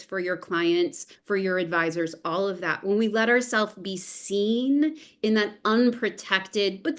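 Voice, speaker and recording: A woman speaking as a podcast guest, earnest and encouraging, in a reflective discussion. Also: medium pitch. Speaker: podcast guest, improv performer. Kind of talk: casual discussion.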